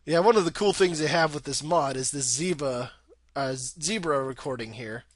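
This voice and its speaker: A man's voice: on the high side, normal speed, dry recording. A young man giving casual, rambling gameplay commentary in an informal way, swearing freely. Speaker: video game let's play host.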